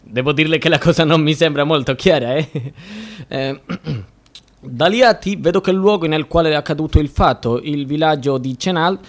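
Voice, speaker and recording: A man's voice: fairly high pitch, moderate pace, lightly acted. A man acting in a radio drama as a probing, wry and exasperated judge who hems and haws as he questions. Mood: courteous, probing.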